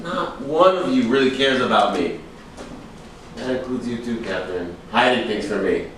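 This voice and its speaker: A man's voice: mid-range voice, animated, moderate pace, natural voice. An actor playing a volatile, possessive man in a staged play, accusing one moment and begging the next, recorded from a distance in an echoey room. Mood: bitter, angry. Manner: accusing, pleading, swinging from bullying to begging. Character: volatile, possessive alcoholic man, a desperate failing writer. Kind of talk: staged play reading, dramatic dialogue. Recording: echoey room, distant microphone, faint noise.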